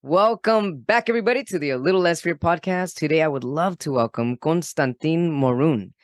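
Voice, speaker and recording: A man's voice: a little high, moderate pace, no room sound. A man hosting a motivational podcast, enthusiastic and affirming as he welcomes and questions his guest. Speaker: podcast host.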